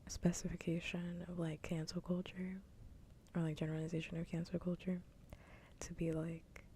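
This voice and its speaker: A woman's voice: fairly low pitch, level tone, normal speed, warm tone, a little hiss or hum. A woman whispering close to the microphone in a casual, candid ASMR live stream chat.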